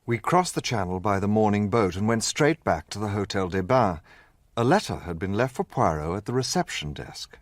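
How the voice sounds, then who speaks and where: An actor playing an earnest, romantic gentleman who narrates and acts as a detective's companion in a radio drama. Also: on the low side, moderate pace, dry recording, lightly acted. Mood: reflective narration. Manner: earnest, expressive.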